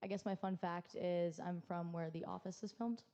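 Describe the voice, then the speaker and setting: A young woman who is a college gymnast, answering on a panel in a relaxed way with frequent likes and ums. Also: lowish voice, normal speed, muffled recording. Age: young adult. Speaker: student athlete panelist.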